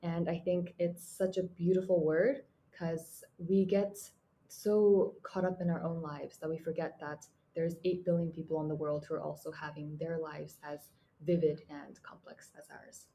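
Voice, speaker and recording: A soft-spoken young woman talks earnestly as a guest on a podcast. Her voice is fairly low and mellow, with faint noise behind it. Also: level tone, normal speed. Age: young adult. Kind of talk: casual podcast chat.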